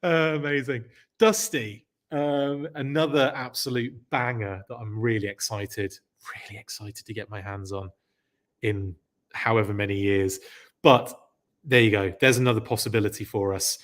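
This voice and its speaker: A man's voice: on the low side, steady tone, moderate pace, fairly bright tone. Rambling man with a scratchy throat. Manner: chatty, rambling, self-correcting. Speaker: podcast host. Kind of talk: live stream chat reading comments.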